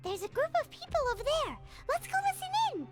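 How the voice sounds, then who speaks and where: Little girl game sidekick, extremely high squeaky voice, lively swings, perky, music behind. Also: fast. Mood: inquisitive. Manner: perky, inquisitive. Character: small childlike chipper girl companion sidekick. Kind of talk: video game voice acting.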